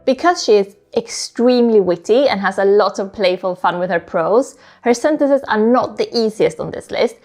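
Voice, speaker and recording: Woman, native Swedish speaker, medium pitch, informal chatty delivery, book vlogger talking to camera. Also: moderate pace, few pauses, a little mellow, faint music behind. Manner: chatty, informal, frequent "like" asides. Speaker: book YouTuber, host. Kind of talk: casual book recommendation talk to camera.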